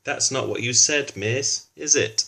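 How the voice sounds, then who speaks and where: A man's voice: medium pitch, measured pace, vocal fry, pushed and bright, pitched above the performer's own voice. A man voicing a smug, eager tell-tale little schoolgirl in a children's storybook. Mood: smug tattling. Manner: eager, tattling.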